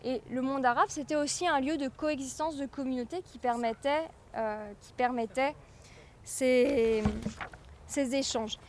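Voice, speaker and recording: High-voiced woman lecturing informally. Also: fairly even intonation, darkish tone, a little hiss or hum. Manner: hesitant pauses, repeats words, explanatory. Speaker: guest lecturer, medieval historian.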